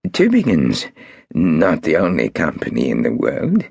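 A man voicing a desperate, scheming male businessman with a shrill, wheedling voice. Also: huge pitch swings, measured pace, creaky, darkish tone, breathy edge, dry recording, studio quality, heavily acted.

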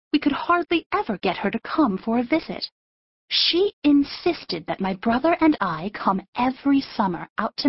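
A woman's voice: on the high side, slightly rough, dry recording, dull audio, exaggerated voice. A woman playing a patient, hopeful but anxious mother in a full-cast audiobook, speaking earnestly.

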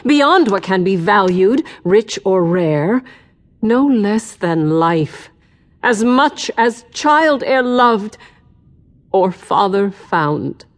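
An actress playing a flattering, formal princess, measured and declamatory, in a Shakespearean audio drama. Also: female voice, mid-range voice. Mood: solemn flattery. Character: king's eldest daughter, a flattering, formal noblewoman.

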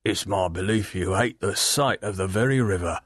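A man's voice: low voice, normal speed, breathy edge, dry recording. A man voicing a surly, blunt older boatman who is a father.

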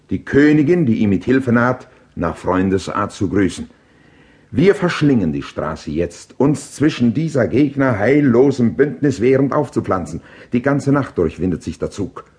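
A man performing a proud Greek king in a classical radio play. He declaims verse in a mid-pitched voice, shaping each phrase deliberately and rhetorically as he reports on war. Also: darkish tone, natural voice. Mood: vivid, urgent.

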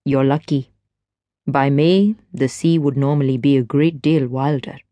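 A woman voicing a courteous man with a soft, honeyed tone and an unhurried, polite delivery for an audiobook novel. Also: a bass voice, dry recording, voice pushed down. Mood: calm, knowing.

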